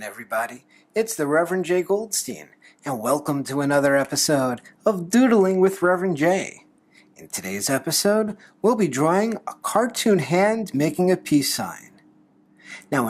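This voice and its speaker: Husky, lively drawing tutorial host. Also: medium pitch. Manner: playful, light humour, encouraging. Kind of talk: step-by-step drawing tutorial narration.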